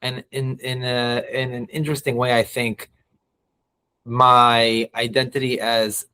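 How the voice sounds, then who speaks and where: A man in his thirties who grew up between the US and Israel, a podcast guest telling stories in a reflective, halting way. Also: medium pitch, flat delivery, sharp tone, smooth. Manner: reflective, many restarts and fillers. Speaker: guest, nonprofit CEO. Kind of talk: interview.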